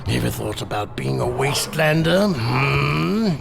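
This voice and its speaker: A man's voice: medium pitch, expressive, a little slow, creaky, a loud crowd. An actor playing a shady, scheming crime boss and dealer in a video game, wheedling and tagging his sentences with questioning grunts. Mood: smug, persuasive. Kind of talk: video game character acting. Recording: loud music behind the voice.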